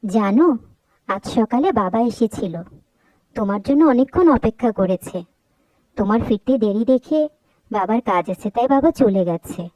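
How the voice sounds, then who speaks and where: A woman's voice: mid-range voice, animated, normal speed, long pauses, warm tone, dry recording, lightly acted, low-quality recording. A woman playing an anxious, lonely young bride in a cartoon story, hesitant and plaintive. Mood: pleading. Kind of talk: Bengali cartoon story dialogue.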